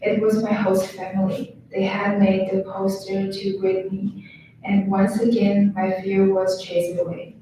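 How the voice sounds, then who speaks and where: A young woman with a Chinese accent, reading her own travel story on stage in careful, halting English with restarts. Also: medium pitch, little pitch movement, dark tone. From China. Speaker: student storyteller. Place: large hall on stage. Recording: echoey hall from far off, faint noise.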